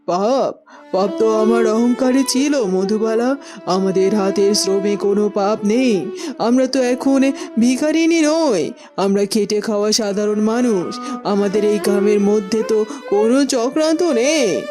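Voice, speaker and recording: A woman's voice: mid-range voice, measured pace, over music, close to an everyday voice. A woman playing a humble, earnest former queen full of repentance in a cartoon melodrama. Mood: remorseful, earnest.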